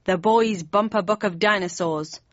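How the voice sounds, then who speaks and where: A woman's voice: mid-range voice, moderate pace, no echo, muffled recording, natural voice. A woman voicing a sardonic, impatient male villain in an audiobook, speaking formally and with smug confidence. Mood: curt. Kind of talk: audiobook character voice.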